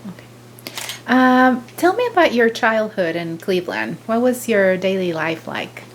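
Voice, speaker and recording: A woman's voice: medium pitch, very animated, measured pace, a little hiss or hum. A woman conducting an oral history interview, polite and formal, with brief encouraging replies.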